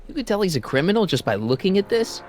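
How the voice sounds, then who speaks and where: A man's voice: high voice, sing-song, fast talker, a little mellow, soft background music. A man voicing a curious, casual, slightly wry teenage boy in a video game. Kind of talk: video game character acting.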